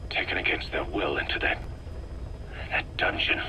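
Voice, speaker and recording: An actor playing a blunt, distrustful military interrogator in a video game, with an accusing, clipped delivery. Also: male voice, very deep voice, steady tone, moderate pace, pushed and bright, heavily acted. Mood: stern, accusing. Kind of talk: dramatic video game dialogue. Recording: faintly noisy cassette tape recording.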